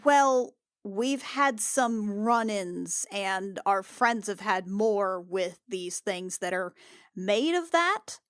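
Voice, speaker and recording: A woman's voice: medium pitch, lively intonation, takes it slowly, a bit bright, clear, resonant tone, no room sound, studio quality. A woman playing a tabletop role-playing game, talking informally and casually in character.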